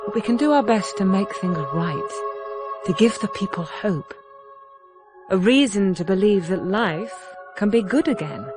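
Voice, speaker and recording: An actress playing a grave, hopeful noblewoman ruler, narrating game cutscenes in a solemn, measured, earnest way. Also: mid-range voice, animated. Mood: hopeful, resolute. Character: grave, hopeful noblewoman ruler rebuilding her war-torn land. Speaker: campaign narrator. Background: background music.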